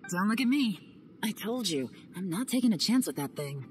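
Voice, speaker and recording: A woman's voice: mid-range voice, sing-song, normal speed, soft background music, lightly acted. A woman voicing a cool, guarded female secret agent in a video game, speaking dryly. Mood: wry. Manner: dry, guarded.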